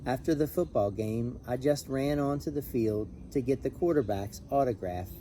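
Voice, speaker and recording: A man reading a children's picture book aloud in an expressive, animated, emphatic way. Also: mid-range voice, normal speed, a little hiss or hum. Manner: animated, emphatic on repeated refrain. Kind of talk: expressive storybook read-aloud with brief asides.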